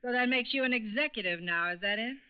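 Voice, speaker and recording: Actress as bossy, clear-voiced woman crook. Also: fairly high pitch, fast, muffled recording, close to an everyday voice. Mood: sarcastic, demanding. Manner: curt, sarcastic, commanding. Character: bossy, nagging woman crook running a racket. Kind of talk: 1940s radio acting. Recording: band-limited 1940s radio recording.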